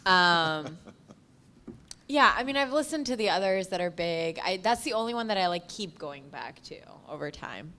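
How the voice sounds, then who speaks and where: Casual woman with a lowish voice. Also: expressive, normal speed, pushed and bright. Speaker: panelist. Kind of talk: casual panel talk.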